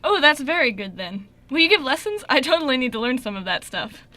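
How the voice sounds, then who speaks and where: Woman as an excitable, very high-pitched young brother. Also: a little fast, full character voice. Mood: enthusiastic. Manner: inquisitive, enthusiastic. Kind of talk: amateur radio play acting.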